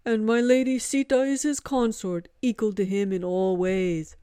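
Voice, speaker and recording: A woman's voice: medium pitch, fairly even intonation, moderate pace, warm tone, clean tone, dry recording, clean studio sound, voice pushed up, close to an everyday voice. A woman voicing an exuberant, devoted male monkey god who speaks with grand reverence. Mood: reverent.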